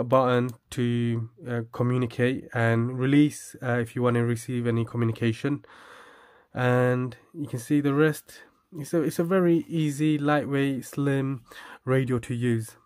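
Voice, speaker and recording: Guy doing a casual gadget review, dry close sound, bit halting. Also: mid-range voice, level tone, a little mellow, no echo. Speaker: product reviewer. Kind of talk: casual product review demonstration.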